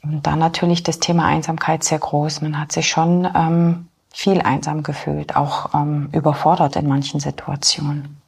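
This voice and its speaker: A woman in her forties, born in Poland and raised in Germany, speaking as an interview guest in a hesitant way with many filler pauses. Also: low-pitched, flat delivery, gravelly, darkish tone, slightly rough. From born in Poland, grew up in Germany. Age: 40s. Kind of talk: personal interview talk.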